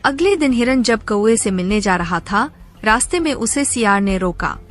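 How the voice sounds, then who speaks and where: A woman's voice: fairly high pitch, expressive, moderate pace, soft background music. A woman narrator reading a scripted animal fable for children.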